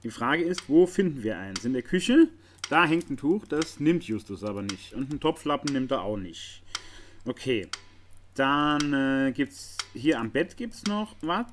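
A man's voice: medium pitch, big pitch swings, a little slow. A man giving casual commentary on a game, thinking aloud and talking to the viewers. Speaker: gaming video host. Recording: noisy recording with clicking.

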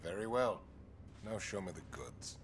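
An actor playing a menacing, theatrical terrorist leader with a sly, gloating manner in a video game cutscene. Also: male voice, deep voice, expressive, a little slow, background noise. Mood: impatient, businesslike.

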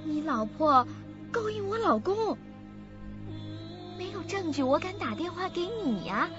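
A woman's voice: very high-pitched, big pitch swings, a little slow, heavily acted. An actress playing a deranged, broken woman in a radio drama, half laughing and half crying as she talks. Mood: deranged, pleading. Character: mad, broken sister-in-law babbling into a toy phone. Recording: muffled dull recording with loud music.

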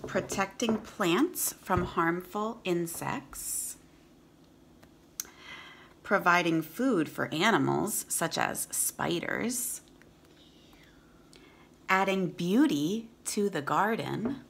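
A woman's voice: lowish voice, animated, extremely slow, creaky, pauses often, fairly bright tone, slight background noise. A woman, reading aloud to children as a teacher and chatting casually between passages. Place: room at home.

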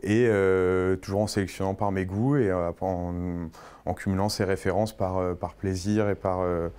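Male, 30s, from Burgundy. Deep-leaning, dark mellow voice, informal interviewee who hesitates and repeats himself. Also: fairly even intonation, moderate pace, smooth. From Burgundy, France. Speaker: restaurateur and wine merchant interviewed. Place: indoors in a restaurant and wine shop.

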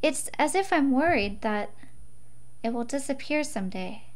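A woman's voice: high-pitched, lively intonation, moderate pace. A woman narrating a visual novel expressively as she plays through it.

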